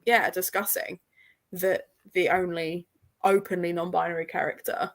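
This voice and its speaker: A woman's voice: lowish voice, little pitch movement, moderate pace. A woman in a casual discussion of books, talking in an informal, slangy way. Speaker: participant in a live book readalong discussion. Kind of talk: casual chat about books.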